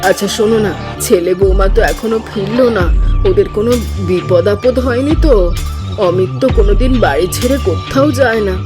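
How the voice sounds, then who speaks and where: A woman voicing a caring, anxious elderly village mother in a cartoon, with a soft, fretful way of talking. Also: mid-range voice, brisk, music in the background, close to an everyday voice. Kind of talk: cartoon voice acting.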